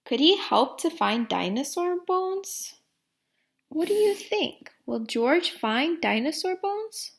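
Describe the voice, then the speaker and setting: Lady doing a storybook read aloud for kids, highish voice, really animated, asks questions. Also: creaky. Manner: simple, deliberate phrasing with questions to young listeners. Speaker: teacher reading aloud to students.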